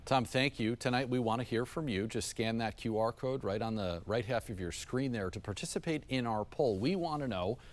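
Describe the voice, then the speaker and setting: A man's voice: mid-range voice, normal speed, few pauses. An American man presenting TV news as an anchor, with a polished, emphatic delivery.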